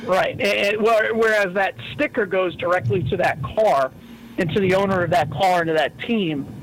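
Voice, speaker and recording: A man's voice: high voice, normal speed, rough recording. An American man, a guest in a casual radio chat, explaining in a matter-of-fact way. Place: radio studio. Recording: faint background noise.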